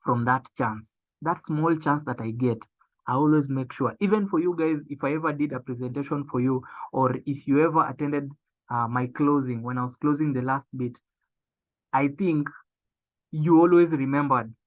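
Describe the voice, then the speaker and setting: A young man giving a motivational business training talk. He speaks emphatically, repeats his key phrases and slows down to stress them. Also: mid-range voice. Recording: tinny phone-like sound.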